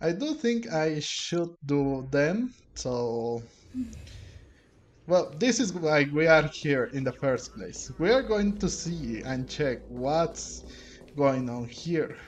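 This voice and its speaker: A man giving casual, rambling let's-play commentary as a game streamer, speaking haltingly. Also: a little high. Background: game music.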